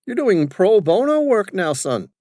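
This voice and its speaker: A man's voice: sits high, very animated, unhurried, darkish tone, no room sound, studio quality, voice pushed up. A man voicing an arrogant, condescending wealthy older businessman who treats everyone as hired help. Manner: pompous, condescending.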